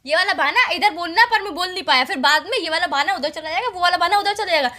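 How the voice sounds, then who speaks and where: A woman acting as a young, hurt girlfriend with a very high, bright voice. She nags and accuses in a plaintive, extremely quick stream of complaints, as in a heated argument. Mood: sarcastic, indignant. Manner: nagging, plaintive, rattling off complaints. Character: aggrieved, accusing young girlfriend in a lovers' quarrel. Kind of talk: heated relationship argument, recorded-call skit.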